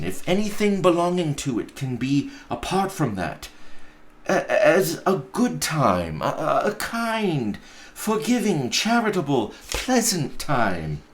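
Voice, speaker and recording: Man acting a high, effusive young nephew. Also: big pitch swings, takes it slowly. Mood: earnest, glowing. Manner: stammering, effusive. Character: cheerful, earnest, warm-hearted young nephew.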